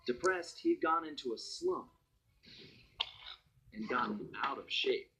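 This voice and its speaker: Wry, lively raccoon thief narrator. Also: male voice, mid-range voice, slow, a little hiss or hum, full character voice, poor audio. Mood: wry, matter-of-fact. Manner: wry, conversational storytelling. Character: roguish anthropomorphic raccoon master thief, adult male, easygoing. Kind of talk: scripted first-person story narration for a cartoon heist game.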